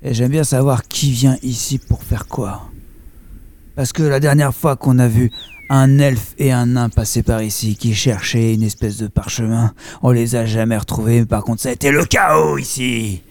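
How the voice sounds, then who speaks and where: A man's voice: mid-range voice, fairly even intonation, normal speed, darkish tone, close to an everyday voice. A man playing a suspicious, laconic human village chief and innkeeper in an improvised fantasy sketch. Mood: wary.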